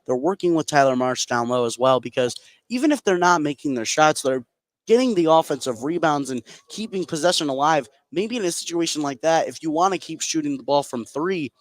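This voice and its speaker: A man's voice: a little high, no room sound. A man working as a color commentator, explaining a live basketball game in a conversational way.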